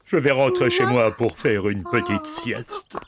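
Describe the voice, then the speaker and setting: A man's voice: mid-range voice, huge pitch swings, unhurried, over a noisy crowd. A man voicing a glum, bumbling toy policeman in a children's cartoon, hesitant and mournful. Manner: hesitant, mournful, old-fashioned exclamations. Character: glum, bumbling toy policeman who has lost his laugh.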